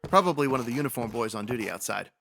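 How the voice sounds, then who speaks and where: Woman as a very deep, gravelly police sergeant. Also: animated, a bit bright, full character voice. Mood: matter-of-fact. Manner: matter-of-fact, brisk questioning. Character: businesslike, gruff police sergeant in a radio mystery. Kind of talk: radio detective drama acting.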